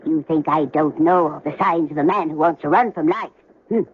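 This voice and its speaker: An actress plays a frail but sharp-tongued old woman on a slightly dull, faintly noisy radio drama recording. She speaks low for a woman, in a blunt, wry, probing manner. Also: brisk, natural voice.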